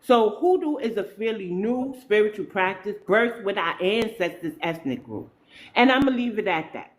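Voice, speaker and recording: A woman's voice: medium pitch, sing-song, normal speed, low-quality recording. Opinionated New York woman, livestream talk. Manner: opinionated, talks straight to chat, slangy. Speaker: livestream host.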